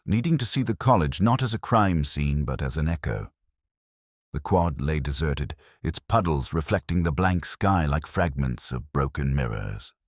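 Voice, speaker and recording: Guy doing a brooding detective narrating a mystery, really low voice, solemn and measured, pitch moves around a lot. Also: darkish tone, dry recording, clean studio sound, heavily acted. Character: melancholy, brooding male English police detective narrator. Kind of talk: scripted first-person detective story narration.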